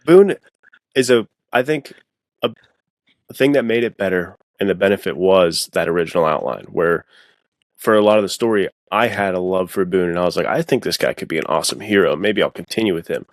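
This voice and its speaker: A man speaking as a guest author in a casual podcast chat, informal and halting, often correcting himself as he goes. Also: deep voice, expressive, no echo, clean studio sound. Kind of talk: casual podcast discussion of fiction writing.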